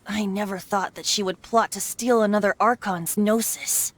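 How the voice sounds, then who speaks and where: A woman's voice: mid-range voice, fairly even intonation, normal speed, a little hiss or hum, lightly acted. An actress voicing a playful, carefree young male bard who is secretly a god, with a lilting, teasing way of talking. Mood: explaining. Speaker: voice actor in a game dub.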